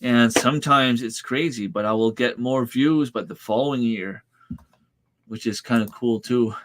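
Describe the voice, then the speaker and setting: A man's voice: mid-range voice, normal speed, noisy background. A man in his thirties from New Brunswick, a native French speaker with a French Canadian accent, chatting casually with viewers on his livestream in an easygoing, hesitant way. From Northern New Brunswick, Canada. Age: 30s. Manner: easygoing, hesitant, many fillers and restarts. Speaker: farm channel host. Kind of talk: casual livestream chat, answering viewer comments.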